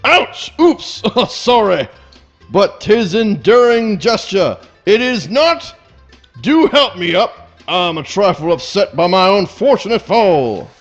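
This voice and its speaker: A man's voice: high voice, very animated, slow, muffled recording, faint music behind, exaggerated voice. A man voicing a pompous, clumsy bloodhound who calls himself a faithful companion, with grand, flowery diction in a comic radio play. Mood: flustered, innocent.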